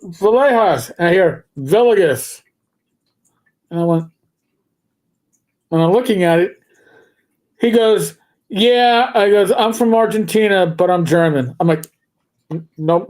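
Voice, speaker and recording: A man co-hosting a livestream, chatting casually about tabletop games and spinning rambling anecdotes with slow, drawn-out emphasis. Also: high-pitched, darkish tone. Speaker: livestream co-host.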